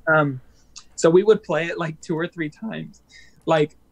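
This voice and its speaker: A man with a somewhat high voice talks casually as a musician guest in an interview. He rambles easily, full of fillers and little laughs. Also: measured pace, vocal fry, lo-fi sound.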